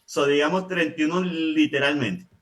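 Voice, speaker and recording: Man, higher voice, off-mic. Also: moderate pace, distant mic. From Venezuela. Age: late 40s. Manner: calm, affirming, often says "así es". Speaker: guest, business owner. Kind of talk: conversational interview storytelling.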